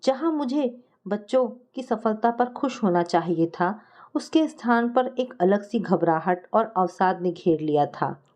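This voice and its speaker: A woman narrates a family story in the first person, as a storyteller reading from a script. Her voice sits at a middle pitch for a woman. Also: expressive, a little slow, a little mellow, highs cut off.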